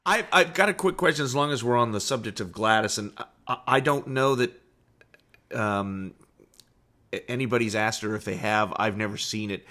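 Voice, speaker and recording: Bright-voiced man asking interview questions. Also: fairly low pitch, moderate pace.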